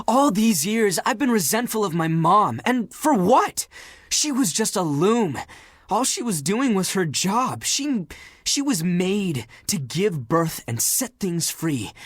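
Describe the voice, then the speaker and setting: A living heap of laundry in a dating game, a male flirt with a husky, rough-edged voice. He is theatrical and emotive, all swagger over a sensitive core. The sound is close and dry, with no room around it. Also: high-pitched, a bit bright, no room sound, heavily acted. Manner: flirtatious, theatrical, emotive, swinging from guarded to grandiose. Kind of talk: dating game character voice acting.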